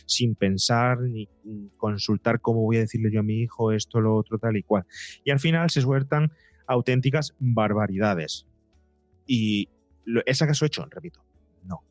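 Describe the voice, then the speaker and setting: Low-voiced man explaining, close dry recording. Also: dry recording. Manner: acts out imagined quotes to illustrate points. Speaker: guest psychologist and podcaster. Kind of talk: conversational interview explanation.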